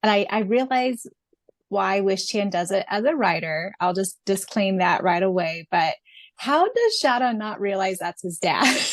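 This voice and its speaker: A woman's voice: medium pitch, expressive, measured pace, long pauses, dry recording. A woman co-hosting a podcast in a casual, chatty conversational style. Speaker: podcast co-host and audiobook narrator.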